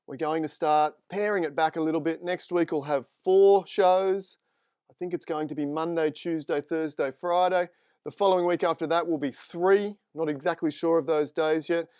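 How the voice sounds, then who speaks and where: A man's voice: fairly high pitch, a little mellow, clean tone, no echo, studio quality. A man with an Australian accent hosting a live stream interview, chatting informally and upbeat. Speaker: host. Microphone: desk microphone.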